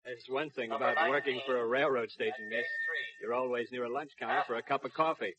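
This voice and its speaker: An actor playing an easygoing railroad policeman with a husky voice in a 1950s radio drama. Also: medium pitch, fairly even intonation, brisk, a loud crowd, natural voice. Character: easygoing male railroad policeman, the lieutenant's subordinate. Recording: dull 1950s radio recording.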